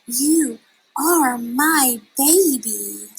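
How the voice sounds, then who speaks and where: A woman's voice: high voice, animated, extremely slow, gravelly, slightly rough, soft background music, pitched above the performer's own voice, full character voice, poor audio. A woman reading a children's storybook, voicing a tender, confident mother bat with an emphatic, motherly manner. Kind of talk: children's storybook read-aloud.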